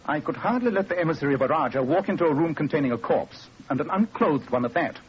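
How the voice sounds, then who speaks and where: An actor playing an aloof, precise English master detective in a clipped, imperious 1940s radio manner. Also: male voice, fairly high pitch, animated, quick pace, natural voice. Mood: firm. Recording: muffled, noisy 1940s radio recording.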